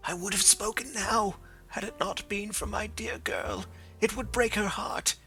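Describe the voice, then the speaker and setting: A desperate old man near death, his voice climbing high and cracking as he pleads. A male narrator puts him on. The tone is bright and gravelly. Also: steady tone, unhurried, soft background music, exaggerated voice. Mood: anguished. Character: dying old man, weary and remorseful.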